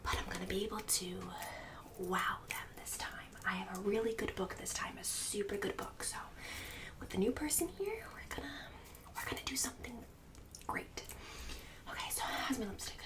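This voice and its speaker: A woman's voice: mid-range voice, expressive, normal speed, far from the mic, close to an everyday voice. A woman playing a snide, half-whispering suburban mother. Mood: bossy, self-satisfied. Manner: condescending, fake-sweet, snide, passive-aggressive. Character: Karen, pushy, boastful, condescending suburban mother hosting book club. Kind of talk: whispered ASMR roleplay.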